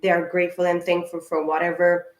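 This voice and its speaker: A woman with a fairly low voice talks through a spiritual tarot reading, meandering and restarting her sentences as she goes. Her voice is a little hoarse, and she clears her throat now and then. The room around her rings with noticeable echo. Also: little pitch movement, quick pace. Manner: rambling, with many fillers and restarts. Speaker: tarot reader. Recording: echoey room.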